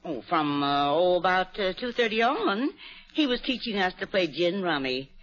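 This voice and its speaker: A woman's voice: fairly low pitch, big pitch swings, moderate pace, soft background music. An actress playing a greedy con woman who poses as a sweet old mother, fussing sugarily over visitors. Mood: sugary. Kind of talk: 1940s radio acting. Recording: dull lo-fi old radio broadcast.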